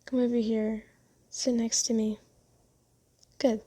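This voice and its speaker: A woman playing a kind, doting, genteel vampire in an ASMR roleplay, speaking softly and soothingly with teasing endearments. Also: on the high side, level tone, slow pace, breathy edge. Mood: soothing, coaxing.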